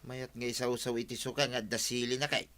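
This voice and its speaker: An actor playing a grumbling, hungry husband in a comedy radio drama, with an Ilocano accent. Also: male voice, mid-range voice, little pitch movement, a little fast, sharp tone, close to an everyday voice. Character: grumbling, hungry husband scolding his gossiping wife.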